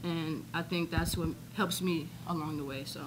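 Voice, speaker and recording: A young woman athlete speaks upbeat and slightly low into a desk microphone at a postgame press conference, with plenty of filler ums. A clear layer of noise sits under the voice throughout. It is an indoor press room rather than a studio. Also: steady tone, normal speed. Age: young adult. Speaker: college basketball player. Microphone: desk microphone on stand.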